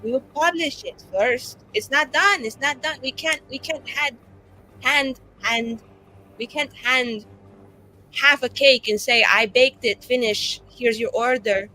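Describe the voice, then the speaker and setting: A woman's voice: sits high, measured pace, sharp tone, a little hiss or hum, poor audio. A woman playing a flamboyant, tipsy elf writer who rambles and boasts with self-dramatising flair in a tabletop game. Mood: indignant, insistent.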